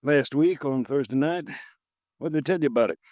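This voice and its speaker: A man's voice: medium pitch, normal speed, a little mellow, no echo, natural voice. An actor playing a stocky, red-faced insurance agent in his late fifties, chatty, folksy and easily riled, in a 1950s radio drama. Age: 50s. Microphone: close studio mic, dry.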